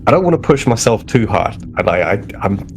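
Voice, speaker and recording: A man's voice: fairly low pitch, big pitch swings, brisk. A man voicing a cocky, eager starship security officer in a tabletop game, speaking casually and repeating himself. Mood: restless, insistent. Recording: clear background noise.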